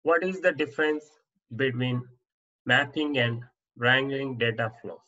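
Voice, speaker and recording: A man teaching as an instructor, reading interview answers off slides in a plain, steady way with small restarts. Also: mid-range voice, a little slow, highs cut off. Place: voice-over on slide presentation.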